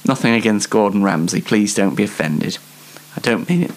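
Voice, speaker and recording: Young man, very animated pitch. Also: normal speed. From Yorkshire, England. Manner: rambling, hesitant, self-deprecating. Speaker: host of a comedy web show. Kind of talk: casual comedic chat to viewers.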